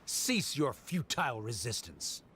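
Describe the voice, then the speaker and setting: A man's voice: medium pitch, sing-song, a little slow, fairly bright tone, slight background noise. A man playing a stern, cold scholar in a video game, speaking in a formal, pedantic and condescending way. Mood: stern, accusing. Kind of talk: video game character acting.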